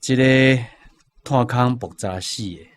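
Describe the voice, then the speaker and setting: An actor playing a somber middle-aged father in a Taiwanese radio drama, speaking in a halting, grave, understated way with a Taiwanese accent. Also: mid-range voice, natural voice.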